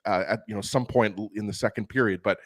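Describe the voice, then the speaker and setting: A man's voice: lowish voice, fast. A man co-hosting a sports talk show, giving passionate and opinionated analysis.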